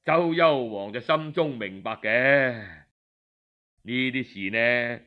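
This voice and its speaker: A man with a Cantonese accent telling a historical tale in an expressive, colloquial traditional storytelling style. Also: medium pitch, slow. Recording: dull lo-fi audio.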